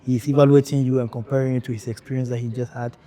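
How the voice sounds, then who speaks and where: A male podcast host who speaks in a measured, reflective way, pausing often as he builds his questions. His voice has a dark, mellow tone and a middle pitch. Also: flat delivery. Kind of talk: conversational interview.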